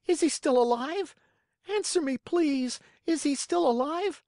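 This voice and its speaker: A storyteller voicing an emotional young wooden puppet boy in a high, childlike, pleading voice. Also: measured pace, a little mellow, dry recording, clean studio sound. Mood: anxious, pleading. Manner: emotional, wailing, eager.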